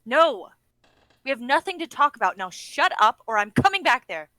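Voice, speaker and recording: Woman acting a despairing, volatile young kidnapper, bright-voiced. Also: high-pitched, big pitch swings, normal speed, no echo, full character voice. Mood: angry, snapping. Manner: broken muttering that snaps into shouting. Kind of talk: dramatic script reading.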